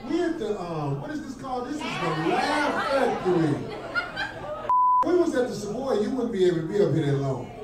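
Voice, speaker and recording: A man mocking a fellow comic on a club stage, his voice high and swinging widely. He is heard from far off in a very echoey room, buried under a loud crowd and music. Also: very animated, normal speed, heavy background noise. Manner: taunting and mocking. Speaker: stand-up comedian. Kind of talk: heckling banter on stage.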